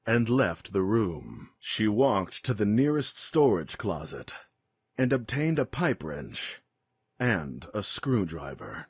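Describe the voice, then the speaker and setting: A man narrating a scripted dramatic story in a grave, deliberate, suspenseful manner. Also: on the low side, breathy edge, dry recording, clean studio sound.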